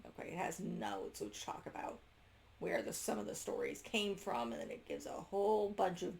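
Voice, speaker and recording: Female homeschool reviewer, lowish pitch with noticeable fry, informal and unscripted, frequently interrupts herself. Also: sing-song, a little mellow. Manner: halting, self-interrupting, trailing off mid-sentence. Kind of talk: casual unscripted review to camera.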